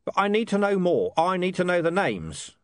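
A stern watch commander, gruff and probing, who keeps pressing and won't let go, as a man voices him in a storybook reading. His pitch moves around a lot, and the sound is close and dry. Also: very animated, normal speed, no echo.

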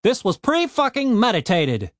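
A man doing a gruff cursing gnome. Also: high voice, wildly swooping pitch, normal speed, dry recording, studio quality, voice pushed up. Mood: angry. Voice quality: coarse, swearing, blunt. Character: gruff profane crippled male gnome innkeeper.

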